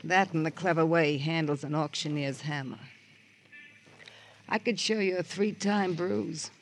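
An actor playing a jilted, sardonic auction-shop worker in an American radio crime drama, with a theatrical, world-weary drawl and an American accent. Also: male voice, a little high, measured pace. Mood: bitter, wistful. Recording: noisy old radio drama recording.

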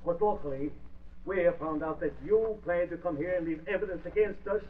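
An actor playing a smug, sinister spy in a slow, deliberate 1940s radio style. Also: male voice, high-pitched, natural voice. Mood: cold accusing. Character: sinister, smug foreign communist spy henchman. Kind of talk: 1940s radio drama acting. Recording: muffled, noisy vintage radio recording.

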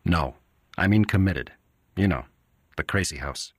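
A man's voice: low-pitched, animated, unhurried, no room sound, studio quality. A man voicing a blunt, cynical male doctor who talks in a flippant, matter-of-fact way.

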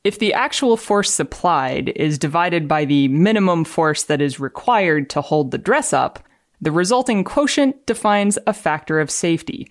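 A woman's voice: lowish voice, expressive, moderate pace, dry recording, studio quality. A woman engineer speaking as a guest, explaining and reading aloud. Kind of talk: explanatory talk with dramatic readings.

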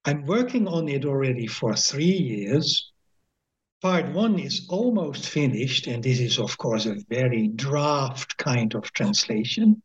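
A man's voice: mid-range voice, lively intonation, breathy edge, highs cut off. An older man with a Dutch accent, an emeritus professor talking in a podcast interview, thoughtful and hesitant, with many fillers and restarts. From Netherlands. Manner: slow, measured reading of translated poetry. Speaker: guest author, emeritus professor.

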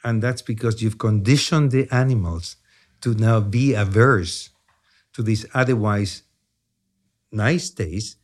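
A man in his sixties from Chile, with a Chilean accent, explaining a science topic in conversation. He often checks in with "yeah?" and fills pauses with "you know". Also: fairly low pitch. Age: 60s. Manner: animated, repeats "yeah?" and "you know", stammers on restarts. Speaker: neuroscientist guest.

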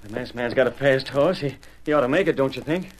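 An actor playing an anxious, earnest young cowboy in an old radio western. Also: male voice, medium pitch, fast, lightly acted. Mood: worried. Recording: noisy old radio recording.

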